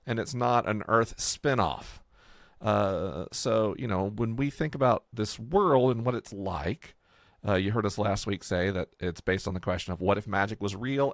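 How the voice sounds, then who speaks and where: A man co-hosting a podcast, explaining casually and off the cuff in a halting, digressive way. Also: lowish voice, gravelly, no room sound, studio quality. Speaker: podcast co-host and world builder.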